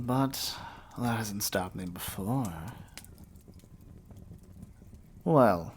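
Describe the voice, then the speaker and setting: A man playing a vain, scheming evil sorcerer king in an ASMR roleplay, with a pompous, condescending drawl. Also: fairly low pitch. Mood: mocking, frustrated.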